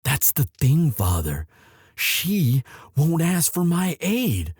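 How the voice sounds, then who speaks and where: A man playing an earnest, lovestruck young prince in an audio drama, speaking formally and haltingly as he implores his father. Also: fairly high pitch, wildly swooping pitch, a little mellow, breathy edge, no echo, clean studio sound, heavily acted. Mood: solemn, devoted. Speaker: voice actor in romance audio roleplay. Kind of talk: dramatic one-sided audio roleplay.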